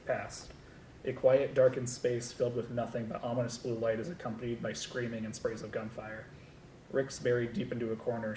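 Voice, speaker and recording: Man, script narrator, monotone, stage directions, roomy echo with faint rumble. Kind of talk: scripted narration at a table read. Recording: echoey room with low rumble.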